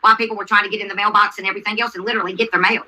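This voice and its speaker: A woman's voice: medium pitch, fairly even intonation, very fast, bright, forceful tone, a little hiss or hum. A woman hosting a livestream, commenting on a video and answering chat in an insistent way, repeating herself and asking questions. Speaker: livestream host.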